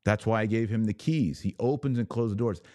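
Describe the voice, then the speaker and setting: A man with a low, mellow voice, recorded close and dry. He speaks as a religious commentator hosting his own show. His delivery is emphatic and instructive, laying out points for listeners like a teacher. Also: fairly quick, no room sound. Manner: emphatic, didactic, explaining by analogy.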